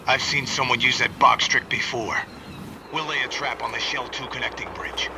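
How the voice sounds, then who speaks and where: An actor playing a cool, commanding villain in a video game cutscene, speaking in a clipped, controlled way. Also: male voice, medium pitch, steady tone, moderate pace, close to an everyday voice. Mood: cool, suspicious. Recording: distant sound, noisy background.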